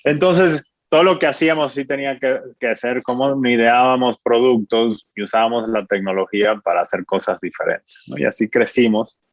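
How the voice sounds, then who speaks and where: A man in his forties with a Dominican accent, explaining his business as an interview guest in a measured way, often ending with a questioning tag. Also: mid-range voice, steady tone, dry recording. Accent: Dominican Spanish. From Dominican Republic. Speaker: fintech founder, guest. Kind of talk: interview answers. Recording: muffled dry sound.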